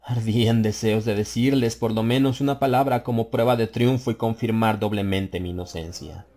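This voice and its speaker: Man narrating a dark tale dramatically. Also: steady tone, normal speed. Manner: theatrical, building tension with swells in pitch and volume. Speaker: audiobook narrator.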